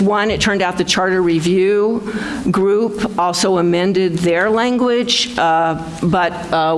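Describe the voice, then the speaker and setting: A woman's voice: lowish voice, a little hiss or hum, rough recording. A woman chairing a town board meeting, speaking hesitantly with many fillers. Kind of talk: public meeting discussion.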